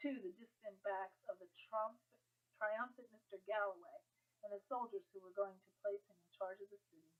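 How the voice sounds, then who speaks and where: A woman narrates a story from a script, at a middle pitch. The recording is muffled and band-limited, with little top end. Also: steady tone, normal speed. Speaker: audiobook narrator.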